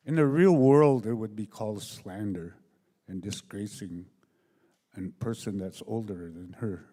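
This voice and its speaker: A man's voice: mid-range voice, warm tone. An older man making plainspoken, hesitant remarks from his seat at a public assembly, over a hall sound system. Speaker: audience member raising a concern. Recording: echoey large hall over PA.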